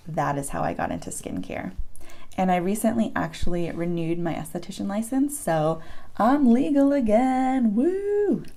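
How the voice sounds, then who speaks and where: A woman in her thirties with a hoarse voice, chatting casually to her viewers as she answers their questions, with frequent ums. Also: lowish voice, darkish tone. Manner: chatty, many ums, open about personal experiences. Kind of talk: casual chat to camera.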